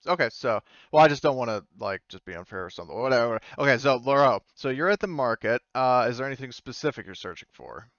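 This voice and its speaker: A man's voice: mid-range voice, lively intonation, fast talker, few pauses, a bit bright, dry recording, dull audio. A man acting as game master, chatting informally and thinking aloud as he runs a tabletop game. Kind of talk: casual tabletop game narration and chat.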